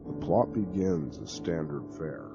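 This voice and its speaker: A man narrating a horror story with a lowish voice that swings widely in pitch as he leans into the telling. The sound is dull and lo-fi, with clear music playing underneath. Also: unhurried. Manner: expressive, conversational storytelling. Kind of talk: dramatic horror story reading.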